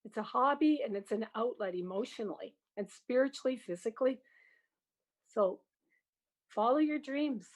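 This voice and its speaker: A woman's voice: a little high, expressive, normal speed. An older woman, talking in an encouraging, plain-spoken way as an interview guest about her work. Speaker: music teacher, interview guest. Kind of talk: interview conversation.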